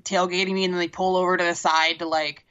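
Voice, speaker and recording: A woman's voice: fairly low pitch, flat delivery, quick pace, a bit bright. A woman co-hosting a casual podcast, bantering with sarcastic, profane humor. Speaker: podcast co-host. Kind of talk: casual chat. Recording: dull lo-fi sound.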